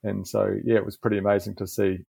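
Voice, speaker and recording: Gravelly low-voiced New Zealand man. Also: level tone, quick pace, warm tone, dry recording. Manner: thoughtful, explains with frequent restarts and fillers. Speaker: botanic garden manager, podcast guest. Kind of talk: relaxed podcast interview.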